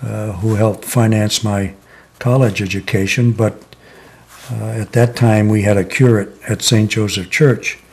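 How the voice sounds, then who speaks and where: An older man in his seventies from Connecticut, reminiscing slowly in a sit-down oral history interview, with many pauses and "uh" fillers. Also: lowish voice, darkish tone. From Connecticut, USA. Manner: slow, reflective, many "uh" pauses. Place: living room, seated in armchair.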